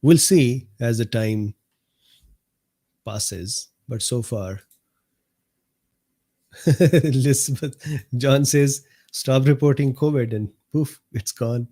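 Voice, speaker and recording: A man with a mellow, slightly hoarse voice hosts a live stream from his desk mic. He reads viewer comments aloud in an unhurried, easygoing way. He laughs often and answers viewers by name. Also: medium pitch. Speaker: host of a live medical talk stream. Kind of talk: casual livestream chat reading viewer comments.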